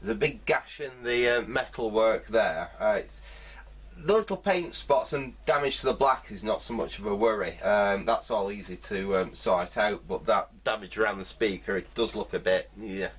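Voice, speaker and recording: A man's voice: on the low side, normal speed, fairly bright tone, breathy edge. A man giving a casual hands-on repair commentary, thinking aloud hesitantly in fragments. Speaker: host of a vintage electronics repair video.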